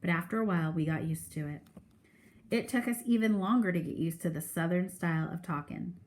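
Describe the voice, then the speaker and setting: A woman's voice: lowish voice, a little fast, a little mellow. A woman reading a children's novel aloud as a teacher would for a class, expressively and with character voices.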